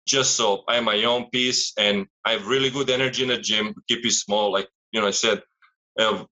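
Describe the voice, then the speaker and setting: A man's voice: lowish voice, steady tone, moderate pace, sharp tone, poor audio. A man, a strength coach, talking casually and giving advice in a rambling, philosophical way.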